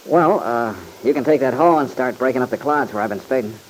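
A man's voice: medium pitch, a little fast, natural voice. An actor playing an easygoing, modest young country man with an American accent, folksy and a little bashful, in old-time radio drama. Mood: amiable. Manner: folksy, slightly bashful, hesitant. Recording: noisy vintage radio recording.

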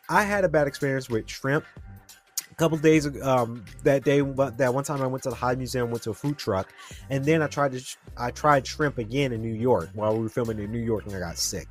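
A man in his twenties hosting a podcast, telling a rambling story and talking straight to his listeners. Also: medium pitch. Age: 20s. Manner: rambling, chatty, addresses listeners directly. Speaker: podcast host. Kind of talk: casual solo podcast storytelling.

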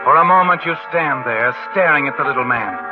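A man's voice: mid-range voice, lively intonation, brisk, natural voice. A man playing the ominous narrator of a 1940s radio thriller, telling the tale in an insinuating, suspenseful way with pointed questions. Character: ominous male narrator addressing the schemer as you. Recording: tinny old radio broadcast over music.

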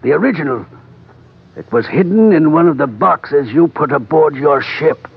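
An earnest, resolute trader in a classic radio adventure. His voice has a clear creak and sits a little high, with formal, measured phrasing. The vintage recording sounds dull. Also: lively intonation, exaggerated voice. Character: earnest, determined male trader in the colonial East. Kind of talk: old-time radio drama acting.

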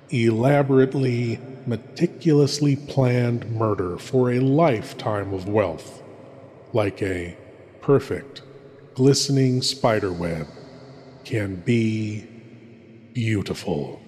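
A man playing a sinister theatrical horror host, speaking in an ominous, deliberate way with dramatic pauses. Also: fairly low pitch, a little mellow. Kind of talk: scripted theatrical horror introduction. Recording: long cavernous reverb.

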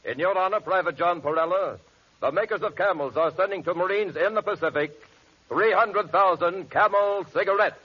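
A man's voice: sits high, normal speed, a little hiss or hum. A man with an American accent reading a scripted tribute in formal 1940s radio announcer style. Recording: dull lo-fi 1940s radio recording.

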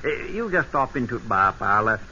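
An actor playing a chatty, deferential rustic British innkeeper, hesitant and folksy, in an old-time radio drama. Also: male voice, mid-range voice, very animated, brisk, sharp tone, exaggerated voice. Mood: obliging. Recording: muffled old radio broadcast, faint hiss.

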